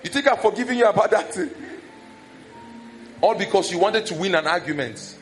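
High-voiced male preacher. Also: animated, moderate pace, faint music behind. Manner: conversational, telling personal stories. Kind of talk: sermon.

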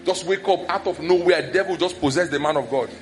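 A man's voice: a little high, fairly quick, slight background noise. A man preaching a conversational sermon that is full of personal stories.

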